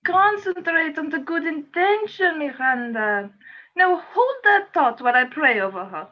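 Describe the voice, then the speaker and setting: Lady acting pushy coaxing exorcist in a radio drama, really high voice, super animated, kinda dull audio. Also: measured pace. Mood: coaxing, exasperated. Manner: insistent, coaxing, theatrical. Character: earnest ghost exorcist coaxing a stubborn spirit. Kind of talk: amateur radio play acting.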